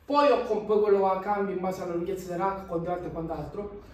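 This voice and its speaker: A young man chats through a how-to in his home gym, pointing at the gear as he explains. His voice sits high for a man. He is heard from across a large, very echoey room. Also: steady tone, brisk, distant mic, slight background noise. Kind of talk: casual how-to vlog. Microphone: camera across the room.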